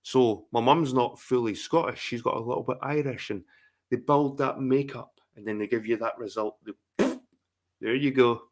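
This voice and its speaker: A man's voice: mid-range voice, moderate pace. A middle-aged man from England, hosting a live chat stream in a profane, rambling, repetitive rant. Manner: profane, rambling, repeats himself. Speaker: livestream host. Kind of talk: casual ranting livestream chat.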